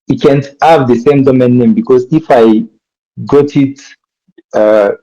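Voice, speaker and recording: Mellow-voiced man with a French accent. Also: mid-range voice, lo-fi sound. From DR Congo. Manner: halting, often restarts and corrects himself mid-sentence. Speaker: workshop instructor. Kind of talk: live coding tutorial.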